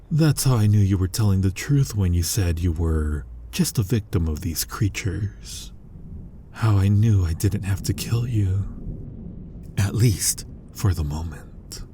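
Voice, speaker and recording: A man playing an ancient, brooding, menacing vampire who hunts his own kind, in an ASMR roleplay, husky and breathy with a slow, deliberate, intimate delivery that often drops toward a whisper. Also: sits low, mellow, heavily acted. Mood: quiet menace. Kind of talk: scripted ASMR roleplay monologue.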